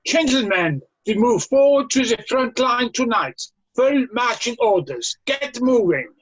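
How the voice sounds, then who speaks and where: Casting: commanding wartime camp officer read by a man, high husky voice, measured delivery, slightly muffled audio. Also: expressive, exaggerated voice. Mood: barking orders. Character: brusque commanding German army camp officer. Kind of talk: amateur screenplay read-through.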